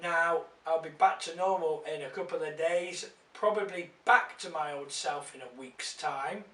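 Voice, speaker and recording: A man vlogging casually to his viewers, chatty and grateful, his voice croaky after being ill. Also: on the high side, normal speed, bright, forceful tone, far from the mic. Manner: chatty, grateful, slightly rambling. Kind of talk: casual vlog to camera. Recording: echoey room, away from the mic.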